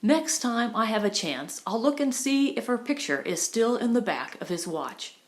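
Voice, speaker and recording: A woman's voice: a little high, big pitch swings, moderate pace. Woman voicing an outspoken, grumbling young girl. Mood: frank, confiding.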